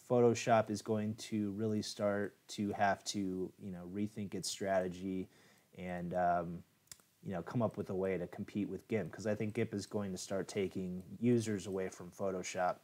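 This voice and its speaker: A man's voice: lowish voice, warm tone. Casual American man hosting a livestream. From United States. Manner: casual, chatty, many fillers. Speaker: software tutorial host. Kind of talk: live-streamed photo editing tutorial.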